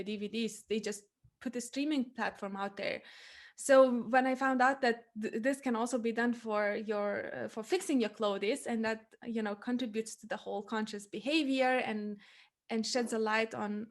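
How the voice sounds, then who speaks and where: A young woman with a fairly high voice and a Lithuanian accent hosts a podcast interview. She talks in an earnest, conversational way, explaining things at length.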